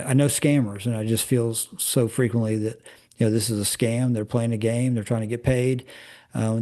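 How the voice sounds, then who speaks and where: A man who worked as an FBI agent, giving measured commentary as an interview guest with many pauses and fillers. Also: medium pitch, mellow.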